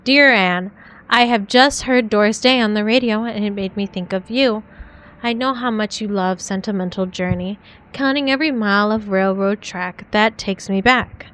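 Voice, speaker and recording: A woman reading aloud as a young 1940s woman writing tender love letters, in a soft, heartfelt way. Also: medium pitch, moderate pace, natural voice. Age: young adult.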